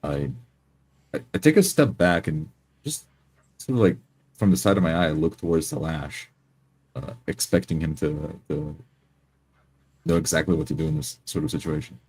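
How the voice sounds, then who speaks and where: A man playing a tabletop roleplay game, improvising his character's actions in the first person with halting, repeated words. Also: very deep voice, a little mellow, slightly rough.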